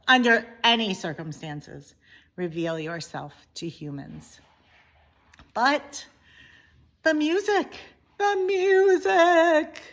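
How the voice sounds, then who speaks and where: A woman reading a children's story aloud in an expressive, deliberate way with dramatic pauses. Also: medium pitch. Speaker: teacher reading a book aloud.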